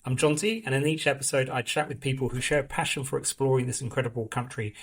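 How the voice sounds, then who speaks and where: A man hosting a hiking podcast, speaking in a measured way. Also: medium pitch, vocal fry, breathy edge. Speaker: podcast host. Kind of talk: scripted introduction and interview questions.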